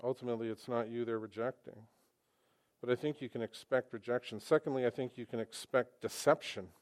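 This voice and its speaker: Animated male preacher speaking on a mic. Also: mid-range voice, a little fast. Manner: animated, conversational, with emphatic asides. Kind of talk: sermon. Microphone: microphone.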